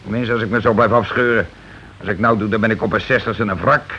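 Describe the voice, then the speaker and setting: A grumbling middle-aged husband in a radio drama, a working man full of his own importance. His voice sits fairly low, and he is sarcastic and blustering. It comes through a muffled, slightly hissy old recording. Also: fairly even intonation, fast talker, pushed and bright. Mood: indignant blustering. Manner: sarcastic, blustering, evasive, complaining. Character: grumbling, self-important working-class husband, a transport worker. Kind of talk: Dutch radio play acting.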